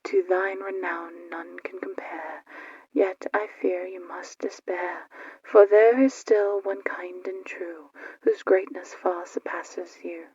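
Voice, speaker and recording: A woman voicing a solemn magic mirror spirit in a fairy-tale audio drama, chanting verse in a breathy whisper. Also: on the low side, fairly even intonation, slow, darkish tone, no room sound, lo-fi sound, heavily acted. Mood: solemn, eerie.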